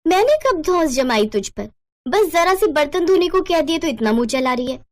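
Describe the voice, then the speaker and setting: A bossy elder sister-in-law from a family bedtime story acted by a woman storyteller. Her voice is fairly high, stern and snappish as she scolds. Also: very animated, moderate pace. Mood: scolding.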